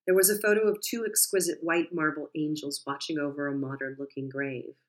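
Low-voiced woman narrating calmly. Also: brisk. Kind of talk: first-person audiobook narration.

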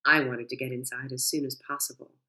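Low-voiced woman narrating calmly. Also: brisk, poor audio. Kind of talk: first-person audiobook narration.

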